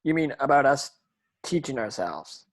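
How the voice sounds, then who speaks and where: A man's voice: mid-range voice, sing-song, moderate pace, a little hiss or hum, natural voice. A man playing an earnest, modest teenage schoolboy hero in an amateur table read. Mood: awkward, questioning.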